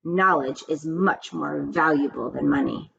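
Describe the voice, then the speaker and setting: A woman's voice: low-pitched, a little slow, vocal fry, a bit bright, slightly rough, dull audio, full character voice. A woman voicing an earnest, exasperated schoolboy in a storybook reading, speaking emphatically. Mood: proud.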